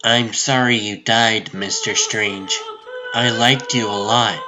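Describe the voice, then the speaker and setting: A man plays an earnest young son in a dramatic comic dub. He speaks slowly and plainly, in mourning and set on vengeance. Loud music runs behind the voice. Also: fairly low pitch, steady tone. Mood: mournful resolve.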